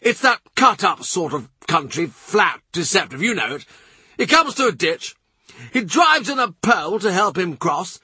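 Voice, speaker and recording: Brief: a high, hectoring, excitable old lord, husky and loud in a radio-play style, dry close recording. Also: male voice, normal speed, no room sound, exaggerated voice. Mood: agitated, hectoring. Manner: excitable, lecturing, emphatic. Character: blustering panicky nobleman, older man.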